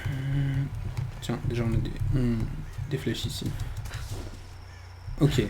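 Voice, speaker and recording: A man's voice: mid-range voice, little pitch movement, slow, noisy background. A man giving casual game commentary as he plays, hesitant and musing aloud. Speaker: gamer, let's player.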